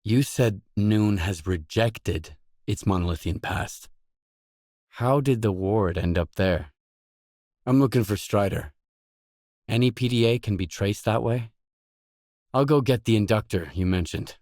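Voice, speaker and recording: An actor voicing a gruff, guarded stalker mercenary in a video game, blunt, terse and no-nonsense. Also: male voice, lowish voice, measured pace, pauses often, darkish tone, no echo, studio quality, natural voice. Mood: terse, businesslike. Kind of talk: video game dialogue lines.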